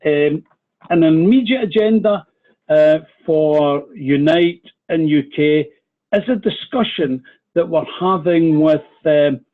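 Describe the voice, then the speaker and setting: A man's voice: fairly high pitch, slow pace. Scottish man on a tinny call line. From Scotland. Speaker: union official. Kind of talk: call presentation.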